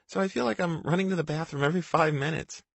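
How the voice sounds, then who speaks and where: A man voicing a hopeful young pregnant woman in an audiobook, in a creaky, husky falsetto. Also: fast, a bit bright, no echo. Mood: sweet. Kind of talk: audiobook character voice.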